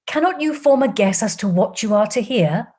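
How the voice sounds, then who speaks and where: A woman reading an audiobook, voicing an anxious, gentle older woman who breaks startling news in a loud, trembling voice. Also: fairly high pitch, animated, normal speed, husky. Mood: urgent.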